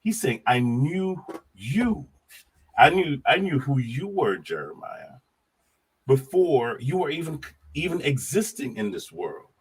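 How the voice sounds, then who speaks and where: A middle-aged man from Jamaica, a guest on a podcast, talking casually about faith and his life, animated and repeating his words, often laughing. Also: medium pitch, measured pace, breathy edge, poor audio.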